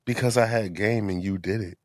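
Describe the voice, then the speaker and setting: A young man in his twenties bantering on a podcast with cocky, joking boasts. His voice is very deep, and the recording is close and dry. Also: fairly even intonation, a little fast, a little mellow, no echo. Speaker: podcast co-host. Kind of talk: casual chat.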